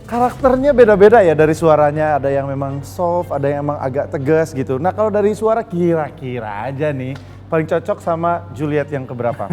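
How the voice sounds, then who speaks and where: A man's voice: fairly high pitch, animated, brisk, a little mellow, big-room sound, soft background music. Upbeat male dating-show host. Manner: upbeat, teasing, builds suspense. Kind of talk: lively TV dating-show hosting.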